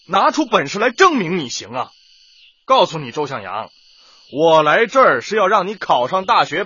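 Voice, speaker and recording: Strict angry tutor in a radio play, high husky voice, swings all over, cutting. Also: male voice, pushed and bright, no echo, dull audio, full character voice. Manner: harsh, cutting, emphatic. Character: stern, hot-tempered male home tutor scolding his student. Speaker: actor in a radio drama. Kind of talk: dramatic radio play acting.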